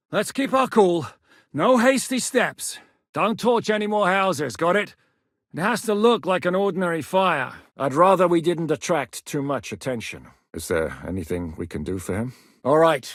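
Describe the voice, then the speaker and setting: An actor playing a crude, earthy middle-aged warlord in a video game, blunt and coarse and swearing freely. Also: male voice, on the high side, fairly bright tone, no echo, studio quality. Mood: commanding. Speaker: game character voice. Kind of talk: recorded video game voice lines.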